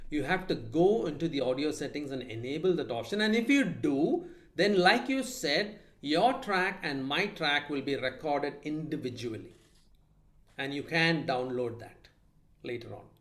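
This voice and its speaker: A man moderating a video call club meeting, explaining points in a measured way with some hesitation. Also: fairly high pitch, animated, a bit bright. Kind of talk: online club meeting discussion.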